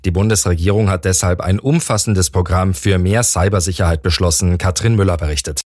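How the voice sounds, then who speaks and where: A man reading scripted radio news as an anchor, with a husky voice. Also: sits low, fairly even intonation, fairly quick, a little mellow, no room sound, clean studio sound.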